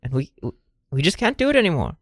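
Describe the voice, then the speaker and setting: A woman's voice: deep voice, big pitch swings, fast, no echo, studio quality. A woman playing an exasperated, practical frontier woman, weary and scolding, in improvised comedy.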